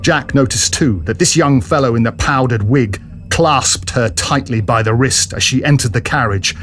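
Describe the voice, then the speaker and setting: A man's voice: medium pitch, normal speed, a bit bright. A man giving a dramatic scripted narration of a period adventure story. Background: music.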